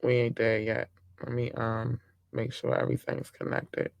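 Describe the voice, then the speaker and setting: A man hosting a podcast who is hoarse and losing his voice, talking through the news in an impassioned, rambling way with many restarts. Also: mid-range voice, completely flat delivery, moderate pace, dry recording. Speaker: podcast host. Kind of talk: casual talk-show commentary.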